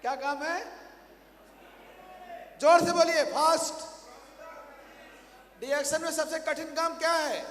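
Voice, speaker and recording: A hoarse man, a motivational speaker at a company training event, giving a rousing stage speech to a large audience and firing questions at the crowd, repeating them louder. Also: very high-pitched. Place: large hall. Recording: echoey hall, faint ambience.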